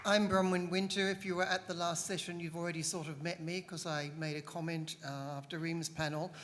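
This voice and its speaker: Older woman with a low voice. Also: steady tone, brisk. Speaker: academic and activist panelist. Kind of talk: informal self-introduction. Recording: echoey hall with noise.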